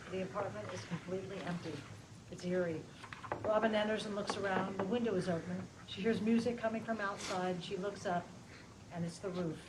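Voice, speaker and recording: A woman reading out stage directions as scripted narration at a table read. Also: medium pitch. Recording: far from mic, clearly noisy room.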